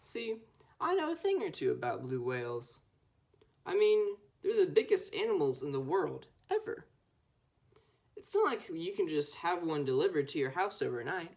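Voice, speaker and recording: Young Scout reading aloud, low-pitched and lively. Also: female voice, moderate pace. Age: child or teenager. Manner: expressive storytelling with acted dialogue. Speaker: Scout volunteer reader. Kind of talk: read-aloud picture book narration.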